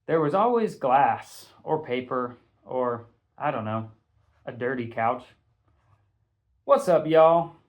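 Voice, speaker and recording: Slow-paced man reading a story aloud. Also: mid-range voice, lo-fi sound. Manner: conversational, expressive storytelling. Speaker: teacher reading a novel aloud. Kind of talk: audiobook narration in first person.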